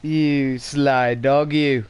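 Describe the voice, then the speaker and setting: A man's voice: mid-range voice, little pitch movement, very slow, clean tone. A man giving casual, jokey let's play commentary as he reacts to a video game. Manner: jokey, informal. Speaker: gaming video host.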